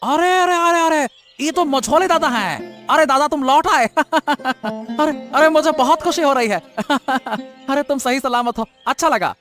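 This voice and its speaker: A man voicing a greedy, lying elder brother with a sly, flattering manner in a moral-story cartoon. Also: very high voice, unhurried, faint music behind. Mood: flustered, over-joyful. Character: scheming young man, greedy elder brother who lies. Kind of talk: animated Hindi moral-story dialogue.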